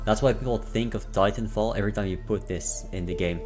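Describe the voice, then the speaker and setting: A lowish male voice talks loosely and swears as he reacts live, tripping over his words and restarting sentences. Music plays clearly underneath him. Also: brisk. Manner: rambling, swearing, stumbling restarts. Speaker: livestreamer reacting to a game showcase. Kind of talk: casual live-stream chat. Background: showcase audio with music.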